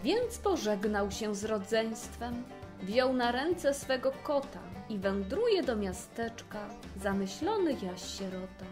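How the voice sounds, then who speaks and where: A woman narrating a fairy tale in verse, with an expressive, measured storytelling delivery. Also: on the high side, music in the background.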